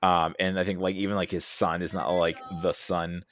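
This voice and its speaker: A man in a casual pop-culture discussion, talking haltingly and often rephrasing mid-thought. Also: sits low, level tone, a bit bright. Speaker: podcast guest.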